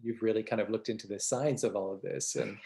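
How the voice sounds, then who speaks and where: A man's voice: lowish voice, quick pace, gravelly, mellow. A man co-hosting a podcast in a conversational interview style, often searching for words and circling back. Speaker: podcast co-host.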